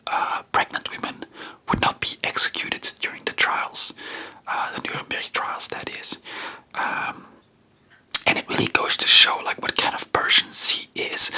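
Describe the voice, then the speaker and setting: A non-native English speaker hosting ASMR, chatting informally about history in a soft, breathy whisper with a rambling, hesitant manner. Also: low-quality recording. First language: not English. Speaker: ASMR video creator and host.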